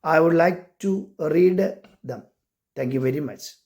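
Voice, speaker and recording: Indian accent guy, not a native english speaker, highish voice, talks real slow n earnest, sharing his own writing. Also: a little mellow. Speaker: amateur short story writer and care worker. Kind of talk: personal introduction and reading aloud of own short story.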